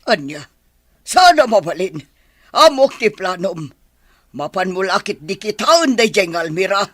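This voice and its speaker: A woman's voice: mid-range voice, sing-song, a little slow. An actress with an Ilocano Filipino accent playing a disapproving, worried mother of a grown son in a radio drama. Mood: questioning, worried.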